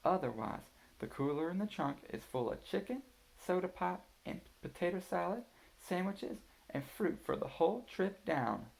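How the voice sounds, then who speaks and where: A man voicing a practical, organized mother who calmly lays out a family road trip. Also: sits high, moderate pace, creaky, pitched above the performer's own voice, heavily acted. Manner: steady, matter-of-fact planning tone.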